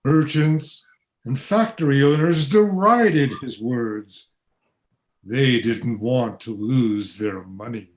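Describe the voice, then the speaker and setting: A man's voice: medium pitch, slow pace, close to an everyday voice. A man playing a wistful, grieving father from a past century in a radio play. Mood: grave.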